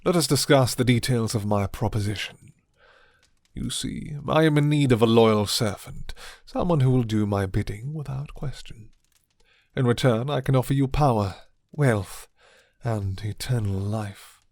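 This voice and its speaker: Man acting a slow, menacing vampire lord. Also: medium pitch, a little mellow, dry recording, natural voice. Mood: persuasive. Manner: slow, formal, breathy half-whispered menace. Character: suave, menacing male vampire lord, seductive and commanding. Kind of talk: ASMR roleplay.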